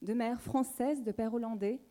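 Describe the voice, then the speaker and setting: A woman in a soft-edged, mellow tone. Her voice sits a little high for a woman. She delivers a prepared personal testimony earnestly, in formal, measured phrases. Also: fairly even intonation. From southwest France.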